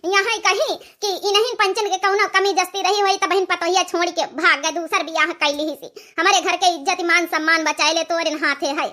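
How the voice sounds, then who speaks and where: Woman playing a shrill, plaintive old village mother-in-law, Awadhi accent. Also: steady tone, moderate pace, few pauses, sharp tone. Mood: tearful pleading. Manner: plaintive, dramatic pleading. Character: old village mother-in-law, tearful, pleading, honour-minded. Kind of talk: comic village cartoon dialogue.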